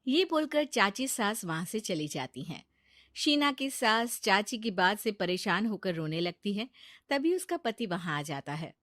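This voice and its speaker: A woman narrating a scripted family story in an expressive storytelling style. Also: mid-range voice, a little slow, no echo, clean studio sound.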